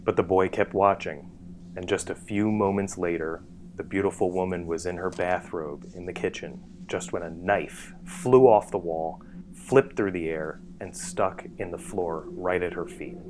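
A man reading scripted storytelling narration in a measured, dramatic literary way. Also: low voice, steady tone, darkish tone, low-quality recording. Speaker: narrator, author.

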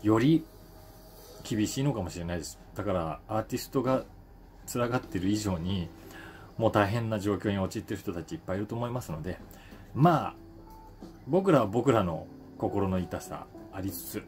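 Low-voiced man chatting reflectively, music behind. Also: lively intonation, normal speed, darkish tone. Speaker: voice actor and stage actor, channel host. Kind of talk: casual solo talk to camera, daily column.

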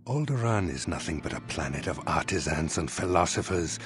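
A man's voice: extremely low pitch, lively intonation, fairly quick. An actor playing a cold, smug older male fleet admiral in a sci-fi game, speaking with formal, condescending menace. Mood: impatient, threatening. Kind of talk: dramatic video game acting.

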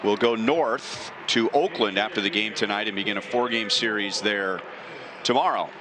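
A man calling a baseball game play by play from the broadcast booth, steady and informative. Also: on the low side, normal speed, noisy background. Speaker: play-by-play announcer.

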